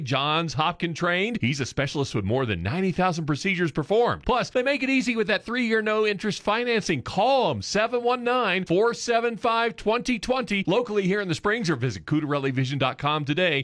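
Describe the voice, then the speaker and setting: Upbeat salesy guy doing a radio spot, high and sing-songy. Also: a bit bright, dry recording, studio quality. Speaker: ad spokesman. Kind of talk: radio ad read.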